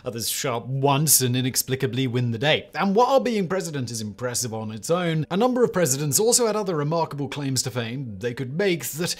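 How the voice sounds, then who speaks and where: A man's voice: medium pitch, sing-song, fairly quick, hardly any pauses. A man narrating a scripted countdown list, with emphatic delivery and punchy rhetorical asides.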